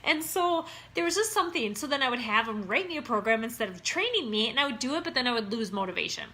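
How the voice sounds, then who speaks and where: A woman's voice: a little high, expressive, quick pace, pushed and bright. A woman in her thirties from Minnesota with an Upper Midwest accent, an online wellness coach talking casually to her viewers in a chatty, upbeat way. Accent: Upper Midwest American. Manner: chatty and upbeat, keeps saying "you guys".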